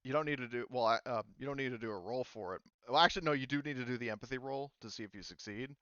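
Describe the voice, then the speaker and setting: A man narrates combat as game master, thinking aloud with plenty of fillers and restarts. His voice is smooth and resonant, recorded dry and a bit muffled. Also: medium pitch, very fast, bright, forceful tone, no echo. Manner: rambling, lots of fillers and restarts. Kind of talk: running a tabletop game.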